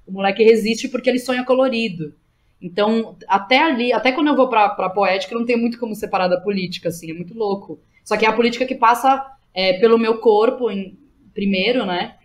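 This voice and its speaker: A woman's voice: fairly high pitch, quick pace. Informal Brazilian woman, slangy podcast guest. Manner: informal and slangy, frequent tag questions. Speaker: interview guest, playwright and theatre director. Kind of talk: casual podcast conversation.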